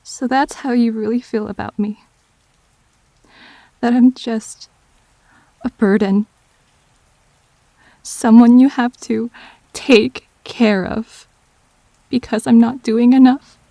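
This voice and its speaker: A high-voiced actress as a grieving girlfriend in an audio drama, wounded and defensive. She takes her time, pausing between halting phrases, in a very dry, close recording. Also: steady tone, vocal fry, mellow, no room sound, poor audio. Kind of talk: emotional audio drama acting.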